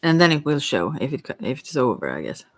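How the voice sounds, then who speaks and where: A tarot reader talking casually and hesitantly, thinking aloud while answering questions, in a soft voice that at times drops to a whisper. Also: medium pitch, very animated, quick pace, no room sound. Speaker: tarot reader hosting a live reading.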